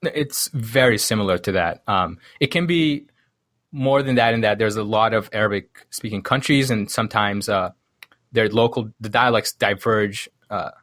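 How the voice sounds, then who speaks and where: Low-voiced man thinking aloud, very close sound. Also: a bit bright, no echo. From Sudan. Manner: halting, thinking aloud with self-corrections. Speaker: podcast guest, programmer. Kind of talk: casual conversational explanation.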